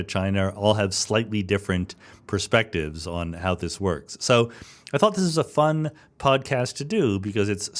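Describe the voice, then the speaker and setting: A man speaking as a podcast host in an informal, explanatory, conversational manner. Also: on the low side. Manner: informal, explanatory, with rhetorical check-in questions. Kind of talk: conversational podcast introduction and interview.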